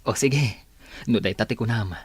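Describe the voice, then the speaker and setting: An actor playing a persuasive, insistent young village suitor in an Ilocano radio drama, with an Ilocano accent. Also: male voice, on the low side, moderate pace, slightly rough, dry recording. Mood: pleading, reassuring.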